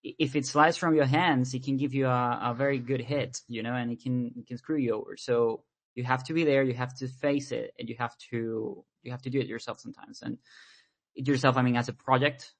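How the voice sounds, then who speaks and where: A man's voice: mid-range voice, steady tone, fairly quick. Man, rambling guest talk. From Venezuela. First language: Spanish. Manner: rambling, repeats words while thinking. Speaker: podcast guest. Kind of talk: casual conversation.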